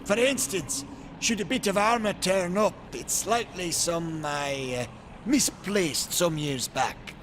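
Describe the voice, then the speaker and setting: An actor playing a boastful, wry male maker in a video game cutscene, talking in a chatty, animated way. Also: sits high, slow, a little hiss or hum. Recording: game score behind.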